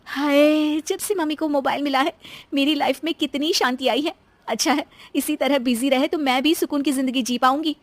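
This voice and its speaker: A woman's voice: high-pitched, fairly even intonation, moderate pace, dry recording, pitched above the performer's own voice, natural voice. A woman voicing a young, exasperated daughter-in-law with a dramatic, grumbling manner in story dialogue. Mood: relieved.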